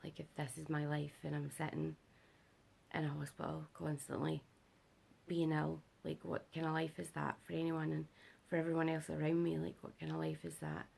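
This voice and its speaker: A young British woman giving a casual vlog update, her voice rough and worn because she is unwell and has not slept, rambling with lots of fillers. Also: completely flat delivery, normal speed, a little mellow. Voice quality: rough gravelly voice. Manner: rambling, filler-heavy, low-energy. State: unwell and sleep-deprived. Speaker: vlogger.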